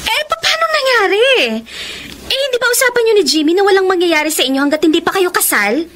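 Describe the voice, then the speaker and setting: Very high-pitched, frank Filipina friend. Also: female voice, animated, quick pace, fairly bright tone, a little hiss or hum. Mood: shocked. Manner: exclamatory, scolding and advising. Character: concerned, frank young female best friend. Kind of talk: Filipino radio romance drama acting.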